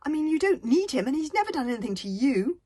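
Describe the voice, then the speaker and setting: A woman's voice: sits high, very animated, fast talker, dark tone, pitched above the performer's own voice. A woman voicing a small, earnest Viking boy hero who talks in a pleading way.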